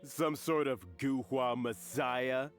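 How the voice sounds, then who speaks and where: A man's voice: medium pitch, fairly even intonation, takes it slowly, faint music behind, lightly acted. An actor playing a sneering, menacing henchman in a video game, mocking and swaggering as he drawls threats. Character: sneering, menacing thug and debt-pressing henchman.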